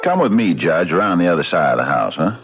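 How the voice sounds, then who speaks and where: An actor playing a shrewd, unhurried frontier sheriff in a folksy, deliberate and probing way, in the style of old-time radio drama. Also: male voice, deep voice, sing-song, over music. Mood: wary, knowing. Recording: dull, noisy old radio recording.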